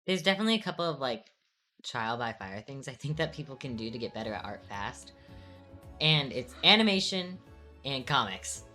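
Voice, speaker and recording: Woman, very deep voice, lively pitch, relaxed streaming chat, faint music behind. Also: moderate pace. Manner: laid-back, chatty, lots of "like" fillers. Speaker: streamer co-host. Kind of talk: casual chat while animating on stream.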